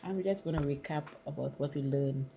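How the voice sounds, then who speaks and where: Low-voiced woman from the Caribbean, chatty. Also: a little fast, mellow, a little hiss or hum. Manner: chatty, many "you know" fillers. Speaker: student interviewer. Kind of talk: casual conversation.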